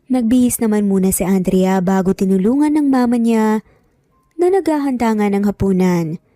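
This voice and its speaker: A woman's voice: mid-range voice, normal speed, warm tone, no room sound, clean studio sound. A woman reading scripted narration as the storyteller of a romance story.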